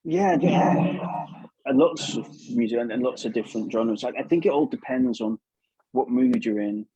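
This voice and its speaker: A man's voice: mid-range voice, moderate pace, mellow, husky, dry recording, dull audio. A man giving casual advice as an interview guest, chatty and repeating himself for emphasis. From England.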